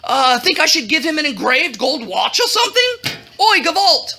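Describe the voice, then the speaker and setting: A woman putting on a blustering, goofy storybook king, pitched high. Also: sing-song, normal speed, noisy background. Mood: jovial, commanding. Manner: exclamatory, hesitant, hammy comic delivery.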